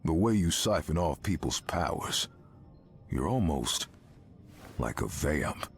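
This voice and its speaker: Grim, extremely deep-voiced vampire hunter. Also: male voice, big pitch swings, takes it slowly, creaky, soft background music, exaggerated voice. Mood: cool menace. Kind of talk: video game voice acting.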